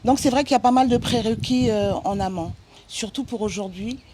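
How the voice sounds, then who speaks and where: Female guest from French Guiana, casual explainer, loud background noise. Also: a little high, a little fast. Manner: explanatory, many fillers. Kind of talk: casual interview chat. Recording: very noisy live stream.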